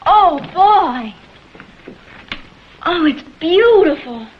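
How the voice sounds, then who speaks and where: A woman's voice: extremely high pitch, animated, extremely slow, slight background noise, exaggerated voice. An actress playing an eager, girlish young woman photographer in a vintage screen drama. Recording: dull vintage TV soundtrack, background music.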